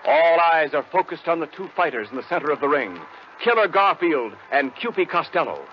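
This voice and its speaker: A man's voice: on the high side, big pitch swings, brisk, slight background noise. An American man announcing on 1940s radio, reading scripted copy with a polished, emphatic salesman's delivery. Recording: muffled 1940s radio broadcast.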